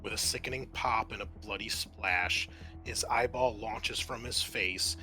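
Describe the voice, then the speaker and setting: A man's voice: lowish voice, unhurried, pushed and bright, soft background music. A man acting as game master, narrating a horror tabletop roleplaying game.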